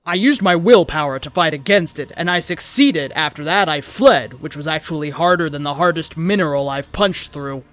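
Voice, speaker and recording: A man's voice: on the high side, moderate pace, hardly any pauses, fairly bright tone. A man reading a fan fiction story aloud as host, in a dramatic narrating style. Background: background music.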